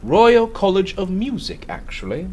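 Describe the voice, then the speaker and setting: Earnest police detective, swooping pitch. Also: male voice, wildly swooping pitch, a little slow, darkish tone, heavily acted. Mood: bashful. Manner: formal, composed, emphatic. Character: earnest, zealous cultured plainclothes police detective. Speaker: actor in a radio play. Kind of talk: dramatic radio dialogue.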